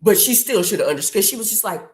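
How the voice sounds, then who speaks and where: A woman hosting a casual interview podcast, telling stories and acting out what others said with lively, playful quotes. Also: medium pitch, fast talker, roomy sound, background noise. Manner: chatty storyteller, acts out quotes, says "girl" and "y'all". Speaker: podcast host.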